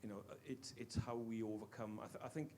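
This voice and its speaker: A man with a Welsh accent, a longtime teacher, asking an earnest, halting question from the floor at a panel talk. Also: lowish voice, steady tone, a little mellow, slight background noise. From Wales. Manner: earnest, halting, many restarts. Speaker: audience member, teacher.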